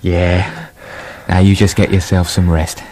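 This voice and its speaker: An actor playing a breezy, scheming writer in a radio play, with a chatty, slyly reassuring manner and storybook turns. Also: male voice, sits low, little pitch movement, measured pace, a little mellow. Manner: chatty, falsely reassuring, sly. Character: breezy, scheming male writer who narrates the tale. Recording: clear background noise.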